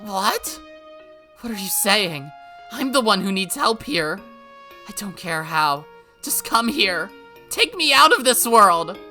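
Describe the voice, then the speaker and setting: A woman voicing a frightened young girl who shouts frantically and makes demands. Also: mid-range voice, sing-song, slow, faint music behind, voice pushed up, heavily acted. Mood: demanding.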